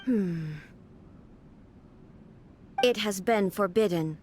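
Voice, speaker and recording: Cold, imperious puppet ruler. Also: female voice, mid-range voice, drawn-out words, a little mellow, a little hiss or hum. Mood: detached, weary. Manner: detached, terse, formal. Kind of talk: English video game voice acting.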